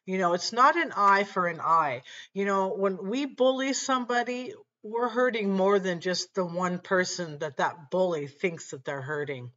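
A middle-aged woman with a slightly raspy voice, telling a personal faith story earnestly to her webcam at home. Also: mid-range voice, moderate pace, hardly any pauses, fairly bright tone, dull audio. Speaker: channel host. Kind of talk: faith-based personal talk to camera. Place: small room at home, indoors.

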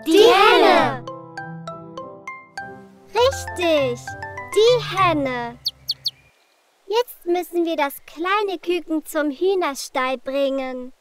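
A woman's voice: very high voice, lively intonation, over music, exaggerated voice. A woman voicing a cheerful little girl adventurer in a preschool cartoon, speaking simply and encouragingly to young viewers. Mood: curious, caring. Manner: slow, simple, encouraging delivery.

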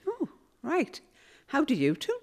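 An actress playing a chatty, nosy middle-aged Northern English mum in an audio play. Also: female voice, high voice, huge pitch swings, measured pace, full character voice. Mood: friendly, curious. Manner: gossipy, grumbling, uses endearments. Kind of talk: audio play performed on stage. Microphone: stand microphone.

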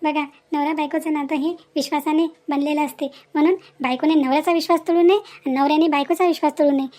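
A woman's voice: sits high, fairly even intonation, quick pace, a little mellow. A woman host giving a scripted moral message straight to viewers.

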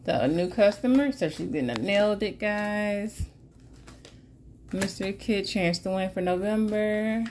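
A woman's voice: medium pitch, unhurried, slight background noise. Chatty woman vlogger packing orders. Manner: animated, repeats "guys" and "like I said", laughs often. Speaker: budgeting vlogger and shop owner. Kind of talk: casual chatty vlog while packing orders.

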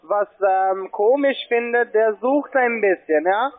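A man's voice: high voice, takes it slowly, a crowd in the background. A man with a foreign accent, not a native German speaker, working as a call-centre agent and questioning a customer in a pushy sales call. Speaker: call-centre agent selling online trading. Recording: narrow, tinny phone line.

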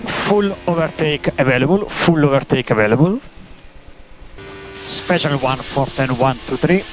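A man working as a race engineer, giving terse, halting instructions over team radio and repeating key commands. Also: fairly high pitch, a bit bright. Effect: team radio transmission. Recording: noisy motor-racing team radio.

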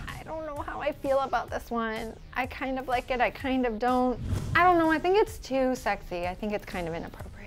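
A woman's voice: high-pitched, lively intonation, a little fast. A young woman with an American accent co-hosting fashion commentary in a casual, gushing, emphatic way. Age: young adult. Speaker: fashion show co-host. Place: indoor studio set. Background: music.

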